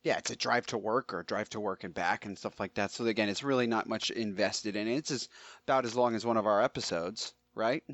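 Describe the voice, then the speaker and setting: A man's voice: lowish voice, fairly quick, fairly bright tone, no room sound. A man co-hosting a podcast in a loose, casual chat style. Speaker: podcast co-host.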